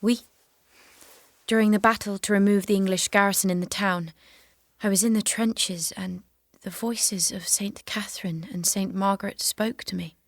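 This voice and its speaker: An actress playing a devout young warrior maiden in an audio drama, earnest, grave and restrained. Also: female voice, medium pitch, steady tone, normal speed, no echo, clean studio sound, natural voice. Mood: solemn, confiding. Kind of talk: solemn audio drama acting.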